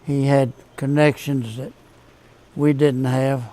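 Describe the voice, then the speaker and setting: A man in his eighties speaking in a measured, reflective way in a documentary interview. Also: medium pitch, little pitch movement, warm tone. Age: 80s.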